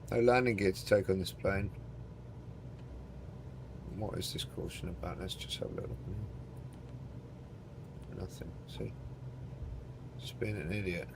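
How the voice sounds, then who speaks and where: A man with a gruff, fry-laden voice narrates his own gameplay in a loose, joking way, swearing now and then. He mutters to himself and speaks to his chat. Loud aircraft engine noise roars under his voice. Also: deep voice, measured pace, long pauses. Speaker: game streamer. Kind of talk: casual live commentary while playing a flight simulator.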